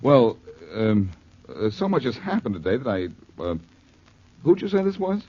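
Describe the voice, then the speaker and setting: Muffled old-radio sound, American male private sleuth, gravelly, very animated, glib, teasing, cool-headed. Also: medium pitch, moderate pace. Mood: feigned casualness. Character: wisecracking, cool-headed male amateur detective accused of murder. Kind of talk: 1940s radio detective acting. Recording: dull 1940s radio broadcast recording.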